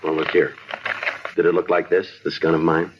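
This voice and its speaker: An actor playing a terse, patient police sergeant, clipped, polite and deadpan, in a 1950s radio drama. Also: male voice, deep voice, moderate pace, close to an everyday voice. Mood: patient, businesslike. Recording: muffled, hissy 1950s radio recording.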